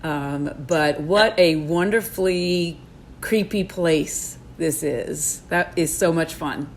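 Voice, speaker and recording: A woman's voice: deep voice, slow pace, a little hiss or hum. A woman speaking as an author and co-commentator in casual, enthusiastic conversation.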